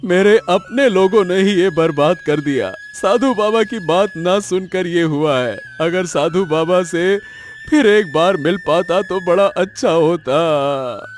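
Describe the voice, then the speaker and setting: A man plays an aggrieved cartoon farmer full of self-pity. His voice is high for a man and very unhurried, dragging out each plaintive complaint over background music. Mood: aggrieved resolve. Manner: plaintive, drawn-out lamenting. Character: wailing, wronged papaya farmer, aggrieved and self-pitying. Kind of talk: Hindi cartoon story voice acting.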